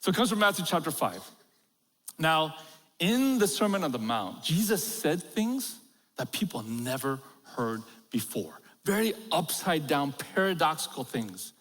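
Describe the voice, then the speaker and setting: A man's voice: on the high side, sing-song, a little slow, a bit bright. A middle-aged man, a pastor born in Korea and raised in America, giving a sermon full of humorous stories and chuckles at his own jokes. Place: large church auditorium. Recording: long reverb of a big hall.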